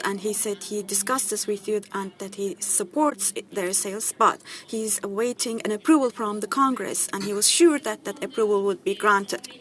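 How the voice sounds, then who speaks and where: A woman giving a simultaneous interpretation of a reporter's questions at a press conference, steady, with fillers and restarts. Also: mid-range voice.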